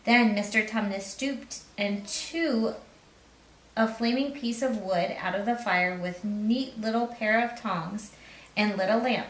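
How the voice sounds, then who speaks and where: A woman's voice: medium pitch, slow. A woman reading a children's story aloud as narrator. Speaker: storybook reader. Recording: roomy echo, distant mic.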